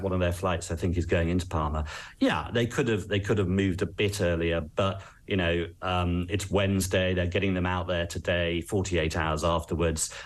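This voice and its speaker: A man with an English accent and a low-pitched voice gives expert commentary in a news interview. He is articulate and earnest, setting out his points in a measured way. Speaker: travel expert, interview guest.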